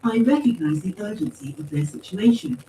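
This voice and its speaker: A woman's voice: mid-range voice, lively intonation, warm tone, pitched above the performer's own voice, natural voice. Woman voicing a precise, coolly logical machine mind. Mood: politely regretful. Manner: formal, precise, measured. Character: calm, coolly logical machine mind speaking through empty suits. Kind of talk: acted audiobook dialogue. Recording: roomy, distant pickup with faint noise.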